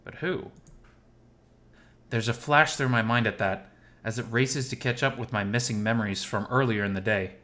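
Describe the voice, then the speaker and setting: A man voicing a lovesick, gently self-mocking male animal character in first-person visual novel narration, intimate and wistful. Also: mid-range voice, normal speed, close to an everyday voice. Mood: pained, puzzled.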